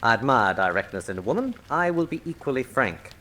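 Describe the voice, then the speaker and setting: Suave villainous colonel, mocking courtesy, very animated pitch, slightly noisy radio drama recording. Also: male voice, fairly quick. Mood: mockingly polite. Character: suave menacing colonel, a crime lord's lieutenant.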